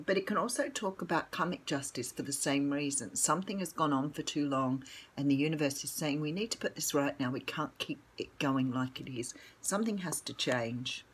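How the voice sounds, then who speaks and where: An older Australian woman with a croaky morning voice, chatting casually and rambling through a tarot reading at home with lots of ums. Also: low-pitched, slight background noise. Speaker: tarot reader.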